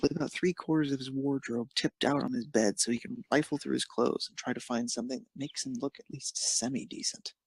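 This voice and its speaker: Creaky, husky male narrator. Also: medium pitch, steady tone, fairly quick, hardly any pauses, no room sound. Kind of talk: scripted narration of fan fiction.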